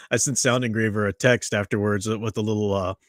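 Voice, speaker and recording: A man's voice: on the low side, fairly even intonation, a little fast, dry recording. Tired, rambling man with a dry sound. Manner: rambling, chatty, with many fillers and restarts. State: tired after a long day. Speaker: livestream host and reviewer. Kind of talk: casual livestream chat. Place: at home.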